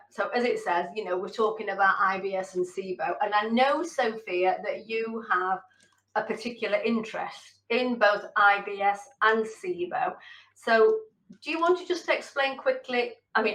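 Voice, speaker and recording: A woman's voice: medium pitch, fairly bright tone. Older lady hosting a video chat, rambly and friendly, echoey room sound. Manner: friendly, rambling, laughs often. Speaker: host and company founder. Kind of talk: casual video call interview. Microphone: video call.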